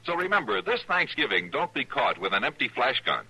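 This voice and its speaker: A male 1950s radio announcer with a lively, very bright delivery, persuasive and emphatic. His voice is a bit husky, with faint music beneath. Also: medium pitch, brisk, rough recording.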